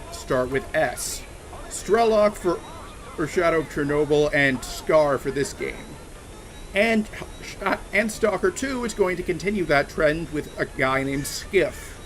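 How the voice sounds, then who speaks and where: A man chatting casually on a game livestream, talking in a halting, rambling way with many restarts and fillers. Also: a little high, slight background noise. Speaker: streamer. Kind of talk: casual livestream chat while gaming.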